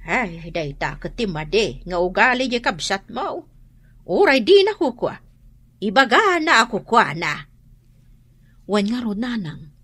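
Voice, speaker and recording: A woman's voice: mid-range voice, a bit bright, dry recording, heavily acted. A woman with a Filipino accent playing a complaining family member in an Ilocano radio melodrama. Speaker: drama actor. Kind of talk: Ilocano radio drama acting.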